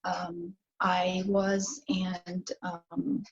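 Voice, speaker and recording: A woman from Iran, a nurse, being interviewed over a video call and answering slowly and thoughtfully, with pauses and fillers. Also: lowish voice, little pitch movement, dull audio.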